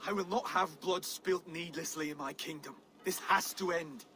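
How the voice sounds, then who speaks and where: A man's voice: a little high, normal speed, sharp tone, slight background noise. An actor playing an earnest, principled young Saxon king in a video game, speaking formally and with resolve. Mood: resolute. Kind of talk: dramatic video game acting.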